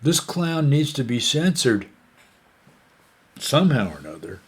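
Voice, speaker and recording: Slow, rambling American man. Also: medium pitch, sing-song, breathy edge. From United States. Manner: rambling, hesitant, many fillers and restarts. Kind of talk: casual opinion monologue.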